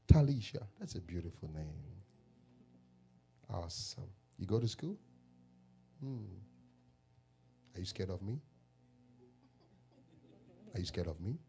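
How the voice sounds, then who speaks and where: Slow-preaching Ghanaian man. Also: low-pitched, animated, vocal fry, slight background noise. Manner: slow drawn-out declarations that swell into loud shouts. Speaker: pastor, self-styled prophet. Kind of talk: prophetic preaching and praying over people. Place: church service.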